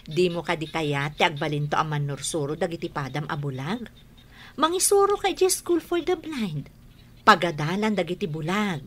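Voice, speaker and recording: A woman playing a loving, encouraging mother in a radio drama, gentle and reassuring. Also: on the low side, big pitch swings, moderate pace. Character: loving, encouraging mother who teaches school.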